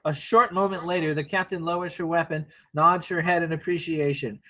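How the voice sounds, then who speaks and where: Male narrator, slightly high register, reading action lines for an informal screenplay table read. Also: steady tone, a little fast, pushed and bright, low-quality recording.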